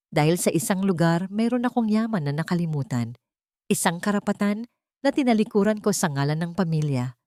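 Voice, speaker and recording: A woman with a low voice narrates a scripted drama in the first person. Her telling is solemn and full of feeling. The sound is close and dry, with no room on it. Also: a little mellow, no echo. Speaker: audio story narrator.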